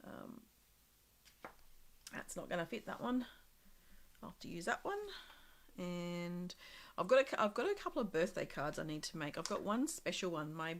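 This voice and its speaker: A woman with a slightly croaky voice from a cold, casually demonstrating a craft project live and thinking aloud as she works. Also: on the low side, animated, normal speed, pauses often. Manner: chatty, thinking aloud with many ums and small laughs.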